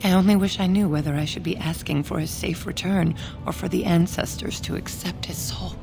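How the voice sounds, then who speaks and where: A grieving dwarf widow. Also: female voice, on the low side, fairly even intonation, a little fast, creaky. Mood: mournful. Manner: mournful, solemn. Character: grieving older dwarf widow praying for lost son. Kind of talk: video game voice acting. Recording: game audio with music underneath.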